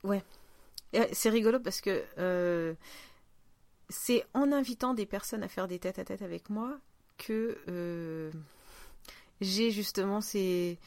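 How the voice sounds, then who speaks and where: A woman's voice: medium pitch, slow, pauses often, a little mellow, no room sound. Woman in casual chat, dry close sound. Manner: chatty, trails off mid-thought. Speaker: podcast host.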